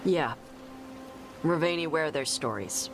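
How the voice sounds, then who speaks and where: A woman's voice: sits low, lively intonation, measured pace, gravelly, exaggerated voice. An actress playing a blunt, touchy young warrior woman in a video game, guarded in manner. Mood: easygoing. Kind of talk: video game cutscene acting. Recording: long reverb, music behind.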